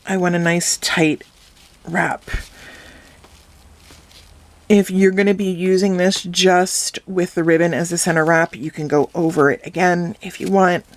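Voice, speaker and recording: Slow, slightly hoarse woman teaching a craft. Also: lowish voice. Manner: slow, calm, instructive. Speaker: craft tutorial host. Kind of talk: step-by-step craft tutorial voice-over.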